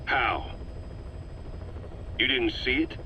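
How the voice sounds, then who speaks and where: An actor playing a hard, cynical military interrogator in a game cutscene, with a pointed, sardonic, pressing manner. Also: male voice, medium pitch, very slow, pushed and bright, exaggerated voice. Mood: cold accusation. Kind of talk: video game cutscene acting. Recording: dull, muffled, faint background noise.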